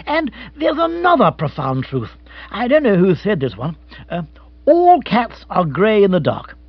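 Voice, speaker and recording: A man's voice: sits high, huge pitch swings, a little slow, no room sound, lo-fi sound, pitched above the performer's own voice, heavily acted. A man voicing a blustering, hearty, tactless upper-class English husband in a storybook, jovial and pompous. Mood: blustering, consoling.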